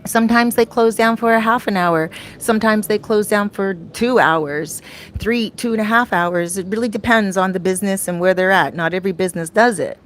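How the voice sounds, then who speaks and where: A middle-aged woman vlogging casually about her life abroad, chatty and rambling, her voice a little raspy and often clearing her throat. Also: mid-range voice, brisk. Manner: chatty, rambling, with many fillers and restarts. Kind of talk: casual talk to camera. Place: her home in a Dominican neighborhood.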